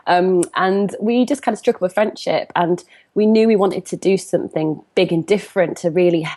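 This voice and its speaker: A woman in her early thirties speaks in a slightly low voice with a Northern English accent. She talks as an interview guest, chatty and animated, telling stories from her own life in a conversational way. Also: fairly quick. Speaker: interview guest, comparison coach. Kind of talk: conversational interview, personal storytelling.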